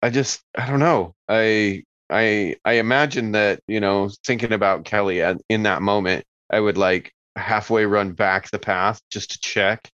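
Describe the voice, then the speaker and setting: Low-voiced man, dull dry recording. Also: steady tone, unhurried, few pauses, fairly bright tone, dry recording. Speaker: player in a tabletop roleplaying game. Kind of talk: casual, improvised game play.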